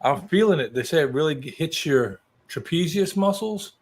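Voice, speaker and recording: A man's voice: fairly high pitch, expressive, normal speed, breathy edge. A man in his early sixties from New Mexico, a guest chatting casually in a folksy, self-deprecating way. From New Mexico, United States. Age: early 60s. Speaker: guest joining a live chat show. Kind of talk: casual chat.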